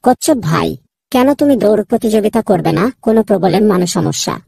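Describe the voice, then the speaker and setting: Woman voicing a raspy busybody cartoon animal. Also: medium pitch, expressive, fast talker, mellow, no echo, clean studio sound. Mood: inquisitive. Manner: inquisitive, bossy, repeats a catchphrase. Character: busybody talking animal, fussy fixer who organizes everyone. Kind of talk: children's cartoon dub.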